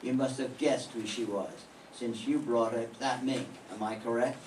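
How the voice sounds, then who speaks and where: A man's voice: medium pitch, fairly even intonation, normal speed, lightly acted. An older man playing a hard-boiled, wisecracking male private eye in a 1940s-style radio drama, with clipped, dry tough-guy lines. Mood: needling. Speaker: amateur radio drama student. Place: classroom. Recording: roomy classroom from a distant mic, faint hiss.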